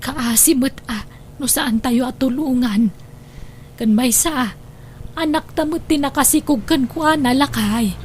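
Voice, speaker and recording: Woman acting a fretful, high-voiced village mother. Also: unhurried, a little mellow, slight background noise. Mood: worried, resigned. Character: young man's fretful, motherly mother in household. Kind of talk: radio drama acting.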